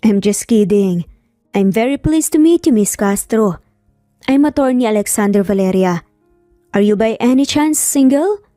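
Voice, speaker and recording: A woman's voice: on the high side, animated, a little slow, warm tone, no echo, clean studio sound, soft background music. A woman voicing a flirtatious, sociable male attorney in a romance story, playful, with a Filipino accent. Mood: flirty, joking.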